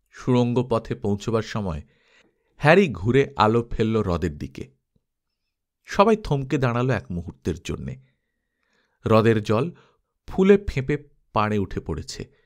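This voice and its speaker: A man narrating an adventure story as an audiobook, in an expressive, suspenseful, dramatic style. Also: on the low side.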